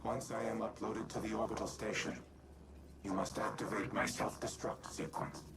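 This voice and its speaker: A man playing a noble, stoic artificial intelligence in a video game cutscene, speaking formally and deliberately. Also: fairly low pitch, gravelly, very noisy. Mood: solemn, resigned. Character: solemn, noble male artificial intelligence war machine. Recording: distant voice under loud effects.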